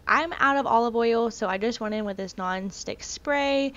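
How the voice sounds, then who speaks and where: A woman's voice: fairly high pitch, normal speed, a bit bright, muffled recording. A woman in her thirties narrating a cooking video in a casual, self-deprecating way. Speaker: cooking vlogger. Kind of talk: recipe voice-over narration.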